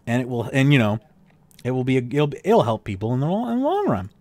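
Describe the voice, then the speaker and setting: A man hosting a podcast with a worn, slightly tired voice. His pitch moves a lot as he drifts into sarcastic asides, and the sound is dry and close. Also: fast talker, dry recording. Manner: animated, sarcastic asides, trails off with fillers. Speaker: podcast host. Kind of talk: casual podcast chat reading game news.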